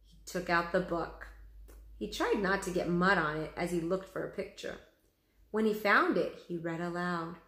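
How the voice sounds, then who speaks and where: A woman's voice: fairly low pitch, normal speed, a bit bright. A woman teacher reading a children's story aloud expressively, addressing young pupils. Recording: echoey room.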